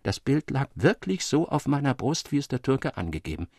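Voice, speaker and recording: Gravelly male literary narrator. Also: medium pitch, sing-song, normal speed, darkish tone, dry recording, studio quality. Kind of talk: literary story reading. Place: dry studio.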